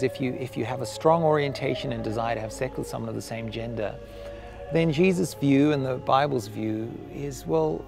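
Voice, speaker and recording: Man, medium pitch, gravelly, measured formal phrasing, explanatory interview. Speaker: religious interviewee.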